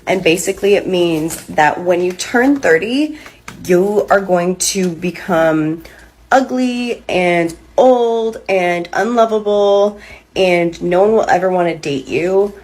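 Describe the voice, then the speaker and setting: A woman of thirty explains things to camera in a wry, casual way. Her voice is slightly husky and sits a little low. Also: slow pace, darkish tone. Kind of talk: casual video commentary.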